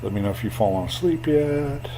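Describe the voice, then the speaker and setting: A man walking a viewer through comparing property sales on screen, in an plain, unhurried way with fillers and a voice he calls boring. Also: medium pitch, animated, noisy background. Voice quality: self-described boring voice. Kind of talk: screen walkthrough tutorial.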